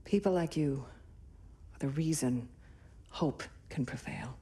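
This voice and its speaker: An actress playing a poised, commanding rebel senator in a video game, measured and authoritative. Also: female voice, low-pitched, mellow. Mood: earnest. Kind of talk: cinematic video game acting.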